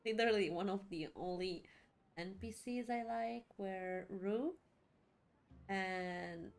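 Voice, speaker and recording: A woman's voice: medium pitch, slow pace, gravelly. A woman giving casual let's play commentary as a streamer, informal, giggly and reactive in her manner. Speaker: streamer playing a game.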